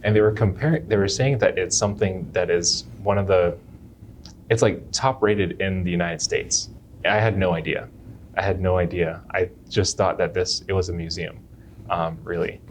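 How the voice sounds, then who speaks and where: Young male chiropractor for an interview, very low voice, unhurried, restarts his sentences, faint room hiss behind. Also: fairly even intonation. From Jamaica. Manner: unhurried, restarts phrases often. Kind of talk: interview answers.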